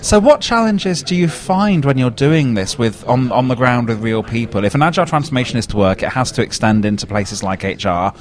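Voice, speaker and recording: A man's voice: medium pitch, animated, fairly quick, hardly any pauses. Enthusiastic male podcast host. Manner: enthusiastic, lots of "awesome". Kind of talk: interview podcast.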